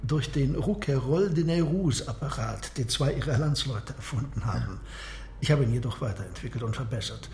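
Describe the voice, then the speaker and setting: An actor playing a reserved, proud submarine captain and inventor in a radio play, explaining in a measured way. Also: male voice, medium pitch, lively intonation, a little mellow, slight background noise, lightly acted. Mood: proud, composed. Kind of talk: radio drama dialogue. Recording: roomy, echoing interior sound.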